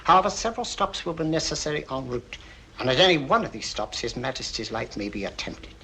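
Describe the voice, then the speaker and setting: An actor playing an earnest, formal, courteous older prime minister in a 1940s film. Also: male voice, medium pitch, animated, fast, sharp tone. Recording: faintly noisy old 1940s film soundtrack.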